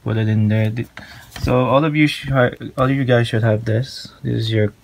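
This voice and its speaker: A man giving a casual hands-on tutorial in a halting, informal way, recorded on a handheld phone at home. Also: medium pitch, steady tone, gravelly, slight background noise, low-quality recording. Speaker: tutorial presenter. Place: small room at home, indoors.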